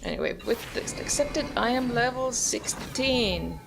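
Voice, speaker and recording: A woman's voice: on the high side, lively intonation, a little slow, creaky, noisy background. A woman hosting a gaming video, giving casual, musing commentary as she plays. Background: game music.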